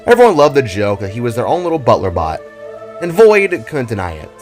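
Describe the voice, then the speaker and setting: A man's voice: medium pitch, huge pitch swings, brisk. A man narrating a scripted dramatic story in a theatrical, storybook manner. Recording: music under the voice. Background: background music.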